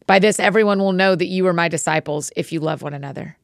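A calm, gentle male teacher speaks with quiet authority, as a woman reads his lines. The voice sits low with a slight gravelly fry. It is a dry, close recording. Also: dry recording, close to an everyday voice. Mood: solemn. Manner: measured, solemn reading.

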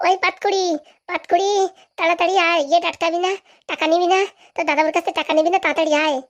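A woman's voice: extremely high pitch, steady tone, fast talker. A woman voicing a bossy, scheming village woman in a comic cartoon, scolding and demanding as she haggles.

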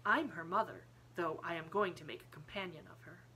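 A woman's voice: on the low side, a little fast, pushed and bright, a little hiss or hum. A woman voicing an earnest, imaginative seven-year-old girl in an audiobook, thoughtful, precise and eager. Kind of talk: audiobook character voice.

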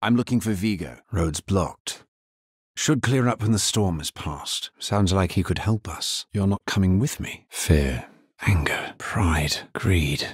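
A grim necromancer from a dark video game, speaking very low and husky in short, measured lines. He sounds grave and dutiful, recorded close and dry with no room around him. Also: no room sound, exaggerated voice. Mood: grave, questioning.

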